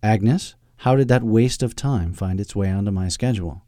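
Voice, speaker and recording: A man's voice: on the low side, normal speed, dark tone, no room sound, clean studio sound, lightly acted. A man voicing a curt, disdainful, coldly logical businessman in an audiobook story. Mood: irritated. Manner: curt, pompous, exasperated.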